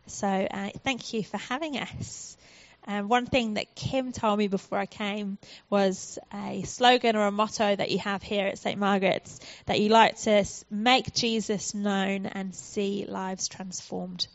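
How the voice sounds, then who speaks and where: A woman's voice: mid-range voice, fairly even intonation, measured pace, dry recording. British woman giving a church talk, friendly and earnest, muffled dry sound. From United Kingdom. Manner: friendly, earnest, with frequent ums. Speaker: charity guest speaker.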